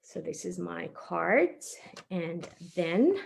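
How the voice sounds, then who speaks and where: A woman presents a craft tutorial for young children. Her voice moves animatedly up and down in pitch as she encourages her viewers. She is playful and stresses words for little listeners, talking as if she were one of the group. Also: sing-song, slow, vocal fry, a little hiss or hum.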